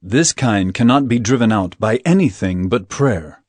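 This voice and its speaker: A man's voice: on the low side, animated, normal speed, darkish tone, breathy edge, no echo. An actor playing a stern, authoritative male teacher, speaking solemnly and with commanding emphasis in a dramatized scripture reading. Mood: solemn teaching.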